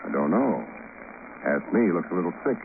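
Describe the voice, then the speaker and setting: A wisecracking radio gumshoe, deep-ish and dry, super tinny old broadcast. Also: male voice, sing-song, brisk, creaky, noisy background, full character voice. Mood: wry, brisk. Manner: dry, ironic, colloquial patter. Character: wisecracking, breezy male private investigator narrating his case. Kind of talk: 1950s radio drama, hardboiled narration.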